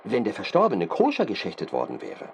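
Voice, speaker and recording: Fastidious expert doctor, very animated pitch, deliberate halting phrasing, earnest, precise, faint background noise, radio drama. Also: male voice, gravelly, highs cut off, exaggerated voice. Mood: earnest, fascinated. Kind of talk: period-drama studio interview, detailed account.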